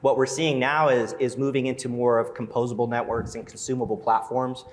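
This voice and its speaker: Fast-talking security executive on a live panel, hall reverb. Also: male voice, mid-range voice, little pitch movement. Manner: rapid, with frequent restarts. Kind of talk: conference panel discussion. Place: conference stage.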